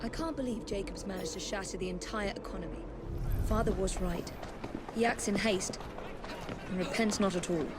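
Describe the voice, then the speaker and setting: A woman's voice: medium pitch, moderate pace, natural voice. Female actor as capable young Victorian heroine for a game: composed, wry, clipped, some street noise. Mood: disapproving. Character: brisk, exasperated, capable young Victorian Englishwoman. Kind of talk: video game cutscene acting. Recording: street crowd and background noise.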